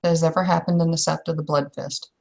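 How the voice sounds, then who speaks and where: A woman's voice: low voice, fairly even intonation, quick pace, vocal fry, a little mellow. A woman playing a blunt, impatient werewolf in a tabletop roleplay, arguing and storytelling. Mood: grave. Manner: argumentative, storytelling, exasperated.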